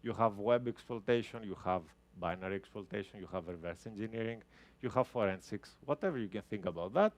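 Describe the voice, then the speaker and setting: Man, 30s, lowish voice, casual live tech talk, keeps restarting sentences. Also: brisk. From Greece. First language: Greek. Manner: casual, explanatory, with frequent restarts. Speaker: guest speaker. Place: talk venue with a live audience.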